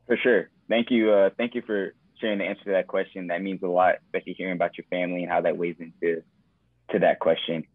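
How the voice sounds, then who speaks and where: A man's voice: sits low, level tone, fast, dry recording. A young man interviewing politely over a video call, reading out his questions. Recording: dry tinny phone-like line.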